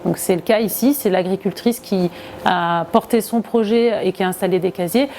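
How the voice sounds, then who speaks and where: A woman's voice: mid-range voice, normal speed, warm tone, a little hiss or hum. A woman, speaking as a local project representative in an explanatory interview.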